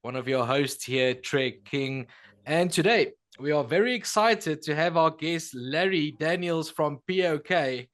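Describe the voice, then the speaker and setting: Upbeat male podcast host, higher voice. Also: expressive, unhurried, fairly bright tone. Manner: upbeat, welcoming interviewer. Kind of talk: podcast hosting.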